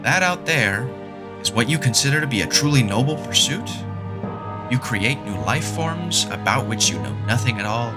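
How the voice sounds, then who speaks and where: A man's voice: medium pitch, animated, moderate pace, over loud music, natural voice. A man playing an agitated, sardonic scientist in an audio drama, emphatic and indignant. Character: agitated, sardonic scientist, drugged but sharply articulate.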